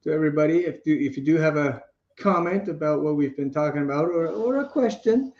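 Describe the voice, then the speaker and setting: A man's voice: on the high side, brisk. A man co-hosting a casual live chat about multilingual children, rambling and chatty in tone. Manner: chatty, rambling, many fillers and restarts. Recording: dull, roomy audio.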